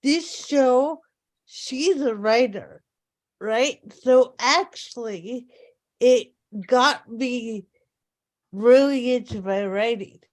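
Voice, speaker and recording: A woman's voice: on the high side, drawn-out words. A woman taking part in a table read, speaking in casual chat.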